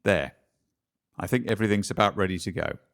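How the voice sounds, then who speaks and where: A man playing a methodical, skeptical investigator in a radio play, brisk and a little lecturing. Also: on the low side, creaky, fairly bright tone. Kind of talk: community radio play acting.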